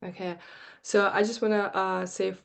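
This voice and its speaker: A woman in her forties from Bosnia, speaking as a podcast guest, earnest and heartfelt with a slightly halting delivery. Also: mid-range voice, little pitch movement, vocal fry, clean tone. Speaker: guest, marketing coach and energy healer. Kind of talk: podcast interview.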